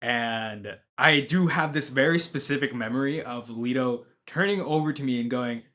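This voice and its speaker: Young man, laid-back, crisp bright tone, relaxed interview storyteller. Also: medium pitch, normal speed. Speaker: tournament organizer. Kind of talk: documentary interview.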